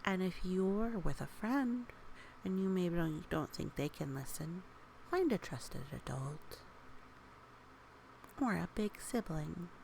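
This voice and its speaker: A woman with a slightly low, creaky voice reads a children's story aloud. She takes her time, gently encouraging young listeners and chatting in casual asides, often softening toward a whisper. A faint noise sits behind her. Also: lively intonation, slow, long pauses, rough recording. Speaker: storybook reader.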